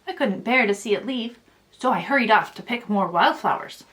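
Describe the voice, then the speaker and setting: Female children's storyteller: higher-pitched, highly animated, expressive read-aloud delivery. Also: normal speed, rough recording. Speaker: children's author reading own book aloud.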